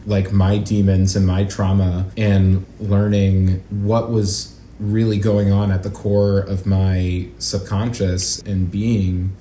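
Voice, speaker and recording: A man's voice: low voice, little pitch movement, slow, few pauses, dark tone. A man speaking as an interview guest in casual conversation, earnest and reflective, often saying "like". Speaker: guest, actor and acting educator. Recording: roomy echo, light background noise.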